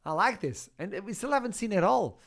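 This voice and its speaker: A man from Portugal doing excitable play-by-play commentary on an esports match. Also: high-pitched, a little fast, vocal fry. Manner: excitable, builds to shouts at big plays. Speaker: esports caster.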